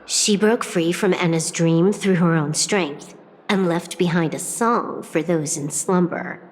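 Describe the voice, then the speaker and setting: A woman's voice: on the low side, measured pace, slight background noise, lightly acted, poor audio. An actress playing a cool, blunt strategist woman in a video game, dry, unruffled and portentous. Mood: matter-of-fact. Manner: dry, matter-of-fact, portentous. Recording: clear music underneath.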